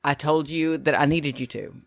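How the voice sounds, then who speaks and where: A woman co-hosting a casual podcast, bantering and teasing, her voice a little gravelly from a sore throat. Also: low-pitched, steady tone, moderate pace. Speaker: podcast co-host. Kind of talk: casual chat.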